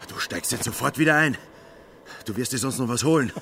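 A man's voice: medium pitch, lively intonation, a little fast, creaky, bright, forceful tone, a little hiss or hum. An actor playing an agitated mountain man in a radio play, scolding and calling out urgently. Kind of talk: German radio play acting.

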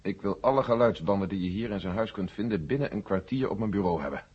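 An actor playing a grave, commanding general in a science-fiction radio drama, speaking with insistent authority. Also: male voice, on the low side, fast, slightly rough. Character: grave, commanding male general giving urgent orders. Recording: dull old radio drama recording.

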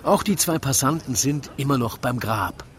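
A man reading a comic rhymed narration in a wry, theatrical, measured way. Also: mid-range voice. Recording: lively crowd chatter behind. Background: crowd murmur.

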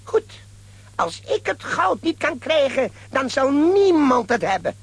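A man playing a scheming, gloating comic villain in a children's audio drama, theatrical and raspy. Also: very high-pitched, very animated, takes it slowly, full character voice. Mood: gloating, spiteful.

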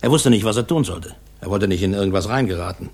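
An actor playing a plain-spoken, chatty uncle who talks in a colloquial, anecdotal way in a crime radio drama. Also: male voice, deep voice, expressive, quick pace, a little mellow. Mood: intrigued recounting.

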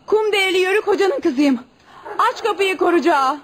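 A woman's voice: very high voice, expressive, fairly quick. A woman playing an emotional, stubborn young village daughter who pleads and commands in a radio play. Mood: furious, defiant. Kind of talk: Turkish radio theatre acting. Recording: noisy old radio play recording.

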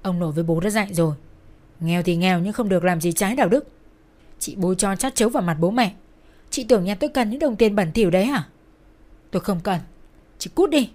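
Scornful younger brother played by a woman, raised, forceful, accusing delivery for tragic audio story. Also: medium pitch, fast, pauses often, lightly acted. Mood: bitter, accusing. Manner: shouting, accusing.

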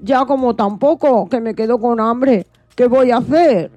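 Woman playing a slow, unhappy vegan crocodile. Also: fairly high pitch, mellow, no room sound, soft background music, lightly acted. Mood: sad, weary. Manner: plaintive, confiding, addresses the listener. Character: unhappy, misunderstood vegan crocodile, male, gentle. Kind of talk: children's radio play, first-person storytelling.

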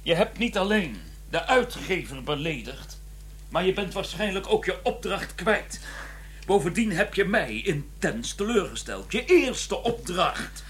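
An actor playing an exasperated, dry-witted doctor who scolds in an educated, sardonic manner in a radio play. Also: male voice, high voice, very animated, a little slow, a bit bright, husky, exaggerated voice. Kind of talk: radio play acting with some narration. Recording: noisy, humming radio play recording.

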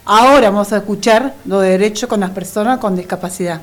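A woman's voice: medium pitch, quick pace. A woman presenting and reading on an amateur radio programme, with music behind her.

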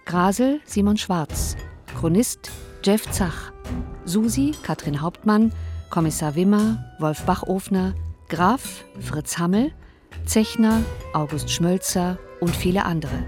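A woman's voice: lowish voice, animated, slow, darkish tone, soft background music. A woman reading a formal, scripted credits announcement as a radio announcer.

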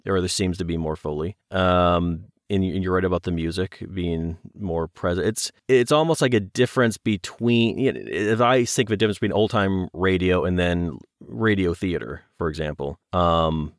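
A man with a low voice and a close, dry sound talks as a guest on a podcast. He is reflective and careful, pausing to find the right words as he casually thinks things through. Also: dry recording. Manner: hesitant, thoughtful, careful with words.

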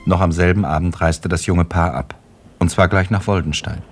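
A man's voice: deep voice, fairly even intonation, normal speed, faint music behind. A man reading scripted literary narration as the narrator of a radio play.

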